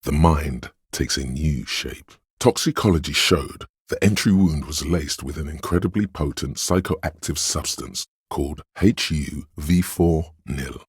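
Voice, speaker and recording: A man voicing a seedy, hedonistic inner voice of addiction in a video game, gravelly and husky, coaxing in a sly, seductive way. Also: rumbling low, no room sound, studio quality, heavily acted. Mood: sly, teasing. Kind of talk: video game character voice lines.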